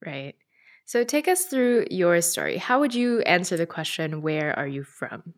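A woman hosting a podcast and interviewing a guest, speaking calmly and sincerely. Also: on the low side, unhurried.

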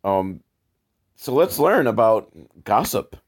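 A man's voice: fairly low pitch, sing-song, takes it slowly. A man hosting a casual comedic commentary on old films, with a sarcastic, profane way of talking. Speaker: host reviewing old educational films.

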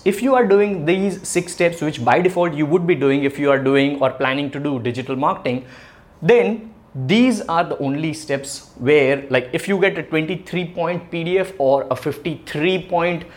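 Indian english guy in his 30s, kinda high voice, chatty, keeps asking you stuff and laughing. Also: normal speed. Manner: chatty, asks rhetorical questions, laughs between points. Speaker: online marketing educator. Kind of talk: casual explainer video.

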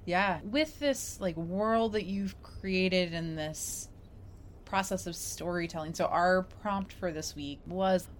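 A woman's voice: fairly low pitch, slow pace, a little hiss or hum. A woman co-hosting a podcast, interviewing in a casual, conversational and playful way. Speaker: podcast co-host.

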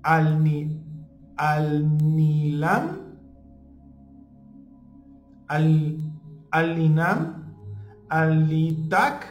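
Unhurried man with a roomy echo. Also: on the high side. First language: not Spanish (joking). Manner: checks in with listeners using ¿ok? and ¿sí?; halting, many fillers, stumbles over names. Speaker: spiritual teacher. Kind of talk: informal talk on symbolism. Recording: echoey room with faint music.

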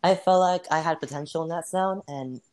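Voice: medium pitch, brisk, darkish tone. Hesitant guest full of fillers. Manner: hesitant, many fillers, laughs off mistakes. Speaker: interview guest playing a singer. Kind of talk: casual role-played interview.

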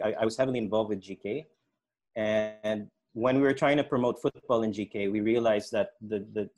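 A man's voice: on the low side, a little mellow. A middle-aged man talking casually as an interview guest, explaining and recounting his own experiences. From Indonesia, Hong Kong and the Philippines. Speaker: interview guest, sports programme organiser and futsal official.

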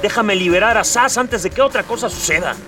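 An actor playing an earnest, determined young Mexican hero in a radio drama. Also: male voice, high-pitched, a little fast, music in the background, close to an everyday voice. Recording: distant from mic.

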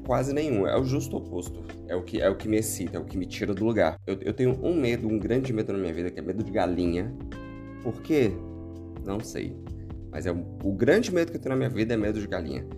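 A Brazilian man with a hoarse voice, hosting a travel podcast in a chatty, upbeat solo talk with playful asides. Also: on the low side, lively intonation, brisk, music in the background. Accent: Brazilian Portuguese. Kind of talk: casual solo podcast monologue.

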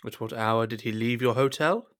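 A man playing a scheming, suspicious cardinal in a period audio drama, speaking in a measured, insinuating, courtly manner. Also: medium pitch, fairly bright tone, close to an everyday voice. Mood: probing. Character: smooth-tongued, scheming, suspicious cardinal and statesman.

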